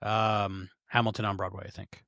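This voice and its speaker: A man's voice: lowish voice, level tone, normal speed, a bit bright, dry recording, clean studio sound. A man co-hosting a podcast, rambling casually with lots of fillers and restarts. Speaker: podcast co-host.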